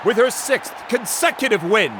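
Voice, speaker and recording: A man's voice: sits high, expressive, moderate pace, crowd noise, noisy background. A man playing an exuberant tournament announcer in a game dub, projecting theatrically to present the winners. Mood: rousing, celebratory. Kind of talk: arena announcing in a dubbed game.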